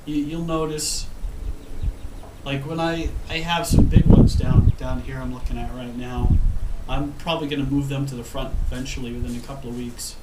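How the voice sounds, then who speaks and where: A man's voice: mid-range voice, fairly even intonation, moderate pace. Casual guy, thirties, stuffed up with a cold, rambly, filmed from a few feet away outdoors with wind blowing. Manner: rambling, self-correcting, frequent ums. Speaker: gardening vlogger. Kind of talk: casual talk to camera. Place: outdoor patio, windy. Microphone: camera mic from a few feet away. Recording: roomy, distant camera audio with wind noise.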